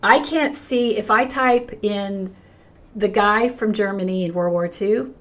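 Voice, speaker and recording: Hoarse older woman pastor talking live. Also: mid-range voice, unhurried. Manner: earnest and emphatic, with frequent restarts and a lively rise when greeting viewers. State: hoarse, losing her voice. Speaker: pastor hosting a livestream. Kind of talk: unscripted livestream talk, reading chat comments.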